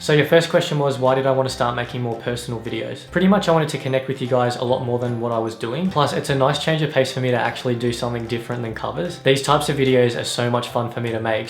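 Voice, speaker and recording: A young Australian man rattles through fan questions in a quick, chatty and upbeat way, laughing now and then. His voice has a gravelly edge. The echo of the room and some faint music are heard behind him. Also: medium pitch. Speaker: YouTube singer answering fan questions. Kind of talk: casual vlog Q&A.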